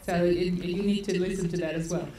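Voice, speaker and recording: A woman's voice: fairly low pitch, fairly even intonation, brisk. A woman from Australia, an event organizer giving chatty opening remarks at a seminar, laughing often and using many fillers. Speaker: event organizer introducing speakers. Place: conference hall. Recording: echoey hall, far from the mic, noisy.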